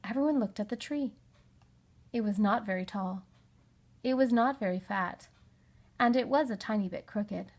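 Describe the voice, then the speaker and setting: A woman's voice: mid-range voice, moderate pace, long pauses, darkish tone. A woman narrating a children's story from a script, as an audiobook reader.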